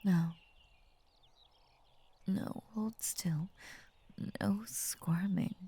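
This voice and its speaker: A woman voicing a tender, possessive lamia in an intimate ASMR roleplay, whispering slowly and soothingly with formal, coaxing words. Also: on the low side, steady tone, mellow, heavily acted. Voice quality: breathy, whispery. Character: possessive, tender female lamia, half snake, doting and unnerving.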